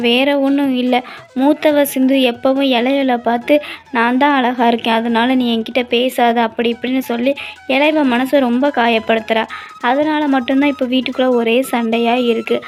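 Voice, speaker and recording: A woman acts as an exasperated cartoon mother with a highish voice. She talks emphatically, like a parent managing two squabbling daughters. Soft music plays behind her. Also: fairly even intonation, moderate pace, natural voice. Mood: worried. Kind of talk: dramatized animated moral story.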